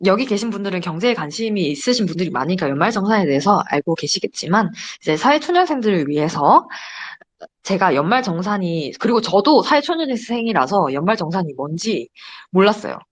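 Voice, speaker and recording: A woman's voice: mid-range voice, sing-song, brisk. A young woman in her twenties giving a casual, chatty slide presentation to a study group. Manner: chatty, giggles between points.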